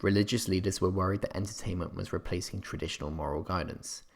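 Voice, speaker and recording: A man narrating a scripted history revision lesson as a tutor, explaining in a somewhat halting way. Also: deep voice, slightly rough.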